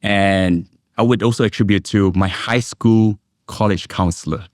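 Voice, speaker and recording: A middle-aged man from Indonesia and Singapore, an investment analyst, giving measured, reflective answers as an interview guest. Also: lowish voice, steady tone, dry recording, clean studio sound.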